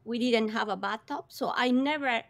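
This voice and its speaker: A woman's voice: fairly high pitch, moderate pace, dry recording. A woman from Colombia, a guest vividly telling her own life story in an interview, with gasps and laughs along the way.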